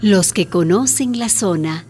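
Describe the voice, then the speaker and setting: A woman's voice: mid-range voice, unhurried, darkish tone, faint music behind. A woman narrating a radio drama in a scripted storytelling style.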